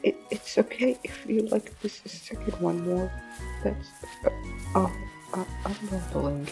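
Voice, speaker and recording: A woman's voice: fairly low pitch, unhurried, gravelly, mellow, low-quality recording. Nervous nerdy character woman acting, rambles n stutters, keeps apologizing, music going behind, audio drama. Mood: flustered, apologetic. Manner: stammering, flustered, apologetic. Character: shy, anxious, rambling nerd, eager to please.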